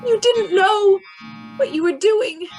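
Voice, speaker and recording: High-voiced, pleading older mother acted by a woman. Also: slow, a little mellow, faint music behind. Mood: desperate pleading. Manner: hesitant, repeating words, imploring. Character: frail, pleading, distraught older mother of a criminal. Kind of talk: old-time radio drama acting.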